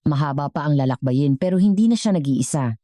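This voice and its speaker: A woman's voice: sits low, lively intonation, a little fast, mellow, no room sound, clean studio sound. A woman narrating a scripted dramatic story as a storyteller.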